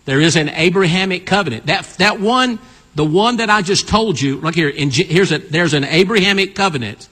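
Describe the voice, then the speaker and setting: A preacher in his sixties with a husky, bright edge to his voice, pitched a little high for a man. He urges the congregation to answer back, and his words ring out through a church with a long reverb. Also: brisk. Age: 60s. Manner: call-and-response preaching, urging the congregation. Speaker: pastor. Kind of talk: sermon. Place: church sanctuary.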